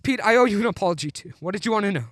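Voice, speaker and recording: A young man playing a desperate, troubled family man in a live radio play, halting and pleading as he talks. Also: high-pitched, lively intonation, fairly bright tone, no room sound, clean studio sound. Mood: contrite. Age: young adult.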